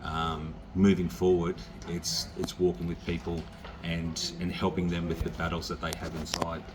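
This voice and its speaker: Deep-voiced Australian pastor, noisy recording. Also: male voice, monotone, moderate pace. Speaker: visiting pastor. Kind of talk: unscripted encouragement to a church congregation.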